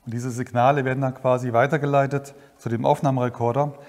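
Methodical sound engineer in a reverberant studio. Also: male voice, mid-range voice, little pitch movement, fast, a little mellow. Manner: methodical, explanatory. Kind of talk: technical explanation.

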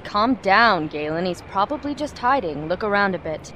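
Female fighter-mentor , played by a woman: brisk, no-nonsense, fantasy radio play. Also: medium pitch, animated, a bit bright, slight background noise, lightly acted. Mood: reassuring. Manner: blunt, commanding. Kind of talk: fantasy audio drama acting.

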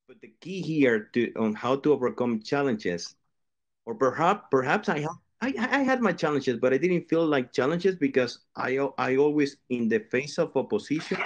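A middle-aged man from Venezuela, an engineering professor answering a panel question over a video call, earnest and often restarting his phrases. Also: medium pitch.

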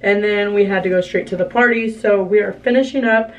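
A woman's voice: medium pitch, steady tone, fairly quick, distant mic, poor audio. A tired young woman, a family vlogger, chatting casually and rambling to the camera from a car, her voice worn and fading. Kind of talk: casual vlog chat to camera.

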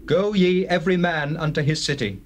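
A man's voice: a little high, moderate pace, close to an everyday voice. An actor playing a stern old male prophet, declaiming warnings in a dramatized scripture reading. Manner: declamatory, admonishing. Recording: hissy old cassette recording.

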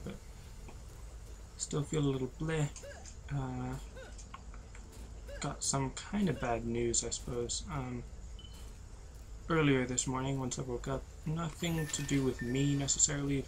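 A tired young man, losing his voice, giving low-energy, rambling commentary over a video game. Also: steady tone, a little slow, pauses often, slight background noise, low-quality recording. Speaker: gameplay commentator. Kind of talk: casual let's-play commentary.

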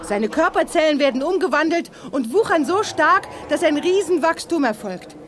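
An actress playing a confident young heroine in a sci-fi radio drama, speaking in a crisp, no-nonsense way. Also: female voice, high-pitched, expressive, moderate pace. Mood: brisk, determined. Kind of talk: 1980s German radio drama acting.

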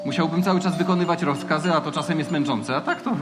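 A man's voice: on the high side, fast, lightly acted. A man acting a meek, naive young space cadet in an audio drama, stammering and earnest. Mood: awkward, friendly. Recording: loud music under the voice.

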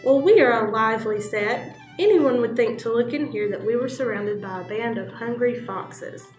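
Woman doing a cheerful old drake, hearty, polite, storybook duck, echoey room, soft music behind. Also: fairly high pitch, moderate pace, distant mic, lightly acted. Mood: jolly. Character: jolly good-natured old male duck.

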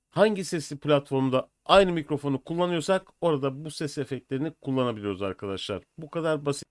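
An older man presenting a software tutorial in an informal, step-by-step way. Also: a little high, no room sound, studio quality. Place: small indoor room at a desk. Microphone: USB computer microphone.

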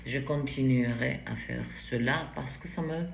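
A woman in her forties with a foreign accent, reading tarot cards to the camera in a halting, casual way with many restarts. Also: extremely low pitch, creaky. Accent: foreign accent in French. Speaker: tarot card reader. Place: bright indoor room at a table. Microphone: camera in front at table height. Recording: roomy echo, faint background hiss.

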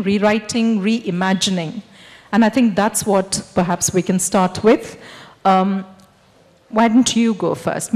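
A woman's voice: medium pitch, a little mellow, long reverb tail. A middle-aged woman moderating a literature festival panel, speaking articulately into a handheld mic on a large stage. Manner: articulate, framing questions. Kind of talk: panel discussion.

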